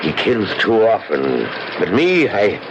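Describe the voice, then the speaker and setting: Gruff, kindly police sergeant, very low register, old noisy radio drama. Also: male voice, huge pitch swings, slow, full character voice. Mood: concerned, businesslike. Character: gruff, good-natured teasing male police sergeant.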